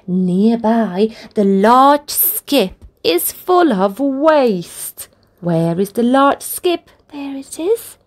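A woman reading a picture book aloud for toddlers, with deliberate, expressive phrasing and encouraging asides. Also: a little high. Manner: deliberate, expressive phrasing aimed at small children. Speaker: children's storybook reader, channel host.

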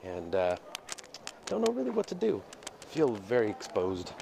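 A man's voice: on the low side, huge pitch swings, normal speed, creaky. A man in his thirties, a dance teacher, talks candidly in a walking vlog, reflective and hesitant, recorded on a headset mic outdoors. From United States. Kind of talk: casual walking vlog monologue. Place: city streets outdoors. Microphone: earphone headset mic, phone at arm's length. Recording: noisy outdoor street recording. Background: street ambience and passing vehicles.

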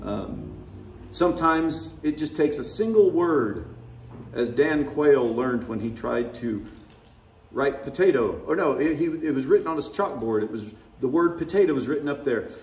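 A man's voice: mid-range voice, lively intonation, normal speed, darkish tone, roomy sound, recorded from a distance, a little hiss or hum. A man preaching a sermon, conversational and wry, often chuckling at his own remarks. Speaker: pastor. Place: church sanctuary.

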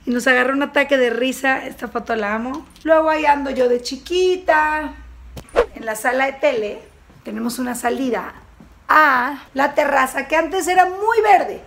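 Mujer aguda y parlanchina en casa resonante. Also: female voice, high-pitched, moderate pace, a bit bright. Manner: chatty, upbeat, points things out as she walks. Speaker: vlogger giving a home tour. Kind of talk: casual house tour walk-through. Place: rooms of a house, indoors. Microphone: handheld camera, close, moving. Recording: echoey rooms, clear background noise.

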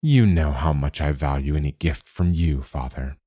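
A man's voice: extremely low pitch, normal speed, dark tone, dry recording, clean studio sound. A man voicing an eager, ambitious young prince turned king in a storybook, with a declamatory, commanding manner. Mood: careful, restrained.

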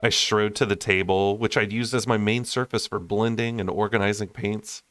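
A young man reads a story aloud. His voice sits a little low. He narrates expressively in audiobook style, and the recording is dry. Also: fairly even intonation, normal speed, a bit bright, dry recording. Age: young adult. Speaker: storyteller reading a novel aloud.

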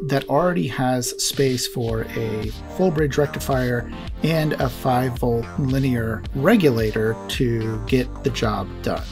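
A man's voice: medium pitch, creaky. A man hosting a hobby tutorial, explaining things step by step in a plain-spoken way. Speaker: host of an electronics hobby channel.

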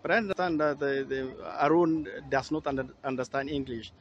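A man's voice: medium pitch, fairly quick, highs cut off. A man reporting from the field, putting questions to a lawyer with a middle-range voice. He hesitates and fills gaps as he asks. Faint noise sits behind him.

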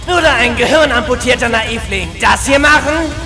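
A very high, creaky young male hedgehog from a cartoon played by a man. He is naive and quick to rage, whining theatrically in a strained voice, with music behind him. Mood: furious, ranting. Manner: whiny, theatrical, overwrought. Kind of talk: cartoon fandub.

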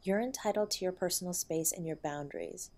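A woman playing a protective, loving wife in an intimate audio roleplay, with a breathy voice. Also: fairly low pitch, a little fast, mellow, natural voice. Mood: gently reassuring. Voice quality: breathy, often dropping to a whisper. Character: protective loving wife comforting and defending her husband.

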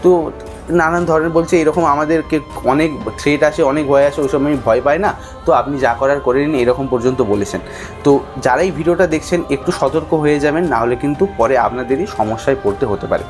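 Young male vlogger, higher-than-average pitch, unscripted cautionary talk to viewers, music underneath. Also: brisk.

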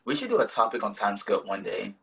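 A man's voice: lowish voice, very animated, fast, creaky, a bit bright, far from the mic. A young man co-hosting a casual podcast and chatting with his friend. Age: young adult. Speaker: podcast co-host. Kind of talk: casual chat.

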